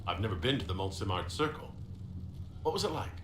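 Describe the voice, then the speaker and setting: An actor playing a sober, sincere young male mage hero in a video game, calm and plain-spoken. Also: fairly low pitch, fast talker. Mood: sober, inquiring. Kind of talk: video game dialogue acting. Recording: roomy echo with clear background noise.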